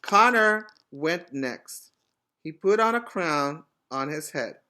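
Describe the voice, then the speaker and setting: A man teaching preschool, reading a storybook aloud expressively and emphatically, pausing to put questions to young children. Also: fairly high pitch, animated, bright, forceful tone, a little hiss or hum.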